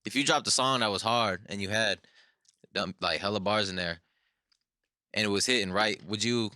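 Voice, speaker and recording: Low-voiced slangy male co-host. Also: normal speed, bright, forceful tone, no echo, studio quality. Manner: slangy, chiming in. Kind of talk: casual podcast interview.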